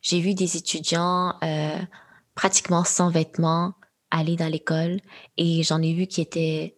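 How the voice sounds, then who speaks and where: A young woman answers questions in a measured, reflective way, often pausing with soft fillers. Her voice sits a little low and carries a faint husky breathiness. Her is Khmer. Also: flat delivery. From Quebec, Canada. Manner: thoughtful, measured, with frequent fillers. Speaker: guest, AI entrepreneur. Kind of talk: interview conversation.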